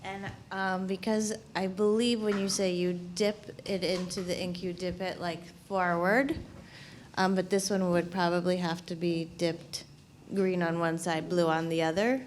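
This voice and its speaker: A woman's voice: lowish voice, flat delivery, unhurried. A woman in the gallery audience asking a careful, hesitant question. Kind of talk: question from the floor. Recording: faint background noise.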